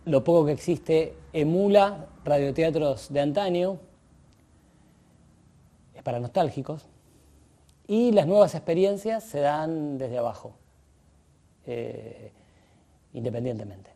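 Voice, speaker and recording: Argentine man, interviewee, gravelly yet soft-toned voice; informal analysis with hesitant pauses. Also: a little high. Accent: Argentine Spanish. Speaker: radio drama commentator.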